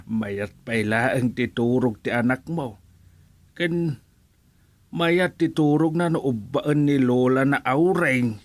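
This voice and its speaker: Unhurried, kindly older Ilocano father played by an actor. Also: medium pitch, no room sound, close to an everyday voice. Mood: mild, easygoing. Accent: Filipino, Ilocano. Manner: unhurried, hesitant murmurs. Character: easygoing, kindly older father, slow to speak. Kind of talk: radio drama acting.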